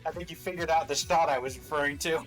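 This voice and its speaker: A man's voice: a little high, steady tone, quick pace, music in the background. Wry man co-hosting game reactions. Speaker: reaction video co-host. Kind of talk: casual commentary on game trailers.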